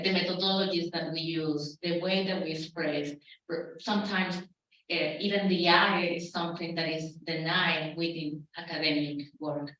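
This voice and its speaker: Low-voiced woman asking from afar. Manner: hesitant, many fillers, warm laughs. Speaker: audience member asking a question. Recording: very echoey hall, distant mic.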